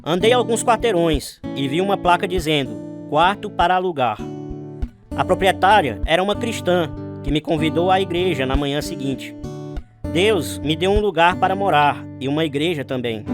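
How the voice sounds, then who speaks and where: Earnest young man acted, fairly high, over music. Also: animated, moderate pace, natural voice. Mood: earnest, hopeful. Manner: earnest, sincere storytelling. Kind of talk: first-person dramatized testimony narration.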